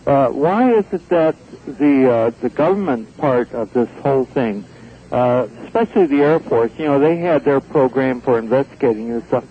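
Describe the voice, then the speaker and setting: A man's voice: mid-range voice, lively intonation, moderate pace, a little hiss or hum. Man calling in on tinny phone line. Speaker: caller to a radio show. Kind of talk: asking a question conversationally. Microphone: telephone.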